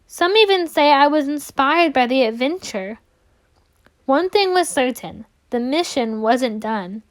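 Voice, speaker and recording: A woman with a high-pitched voice reads a picture book aloud for children. She tells it expressively, with deliberate phrasing and drawn-out words for emphasis. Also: expressive. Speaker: read-aloud storyteller.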